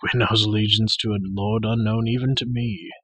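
A wise warrior lord speaks low, slow and grave, as voiced by a man reading aloud. His husky tone stays calm and gentle. It sounds close and dry. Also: no echo. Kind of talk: audiobook character voice.

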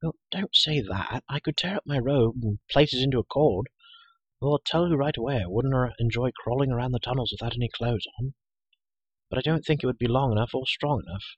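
A man voicing a brisk, self-assured, talkative young girl in a storybook, prim and matter-of-fact. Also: medium pitch, slightly rough, no room sound, muffled recording, pitched above the performer's own voice, natural voice. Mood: brisk, dismissive.

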